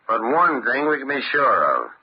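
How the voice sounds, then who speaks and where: An actor playing a businesslike, authoritative police commissioner with a gruff, animated manner in an old-time radio crime drama. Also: male voice, mid-range voice, normal speed. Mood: brisk, commanding. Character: businesslike male big-city police commissioner. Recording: muffled old radio recording, clear noise.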